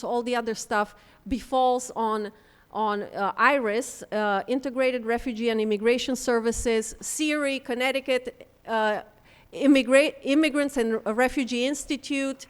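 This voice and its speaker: A woman giving a conference talk, halting now and then with ums and restarts as she speaks. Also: fairly high pitch, clean tone. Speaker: panel speaker for a refugee housing group. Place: conference room. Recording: long hall reverb.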